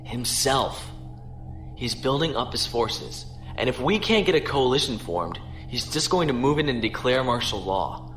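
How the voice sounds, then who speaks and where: A man playing a courteous, earnest starship captain in an audio drama, speaking in a measured, formal way. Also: medium pitch, expressive, a bit bright, soft background music. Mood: grave.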